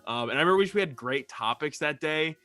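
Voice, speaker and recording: Young man rambling brightly over music. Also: on the high side, animated, fast. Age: young adult. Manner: rambling, profane. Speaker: podcast co-host. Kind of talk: casual group chat.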